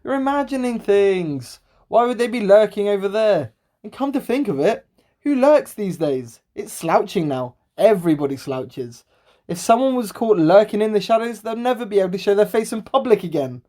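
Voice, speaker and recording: Excitable, scatty female sidekick played by an amateur, playful and dramatic, animated mid-pitched voice for radio comedy-drama. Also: normal speed, lightly acted. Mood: impatient. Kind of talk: amateur radio play acting.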